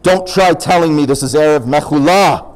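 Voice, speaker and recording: A man's voice: a little high, normal speed, lightly acted. A man voicing a frustrated, suspicious traveling salesman in a folk tale, challenging and exasperated.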